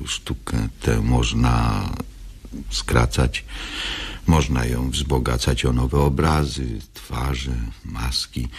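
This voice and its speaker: A man with a husky voice giving a reflective introduction to a play as its writer, halting and deliberate, with long pauses. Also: a bass voice. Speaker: playwright introducing own play.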